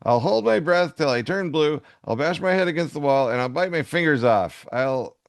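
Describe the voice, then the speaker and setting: A man's voice: a little high, lively intonation, moderate pace, vocal fry, no echo, pitched above the performer's own voice. A man voicing a stubborn, bratty young child arguing with parents in a comic dramatic reading. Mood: petulant.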